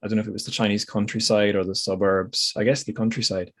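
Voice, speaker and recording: A man with a slightly low voice hosts a bookish podcast. He talks casually and thoughtfully, feeling his way through ideas and rephrasing mid-sentence. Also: little pitch movement, quick pace. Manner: hesitant, reflective, self-correcting. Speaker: podcast host. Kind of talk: casual literary podcast discussion.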